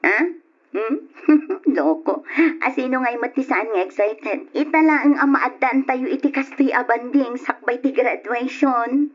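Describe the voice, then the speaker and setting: Give the part a slightly high, husky young woman's voice, a cheerful college student who teases her friends. She has an Ilocano accent and acts in old radio drama style. The recording is dull and lo-fi. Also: a little slow. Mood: cheerful, excited. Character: Tricia, cheerful teasing female college student.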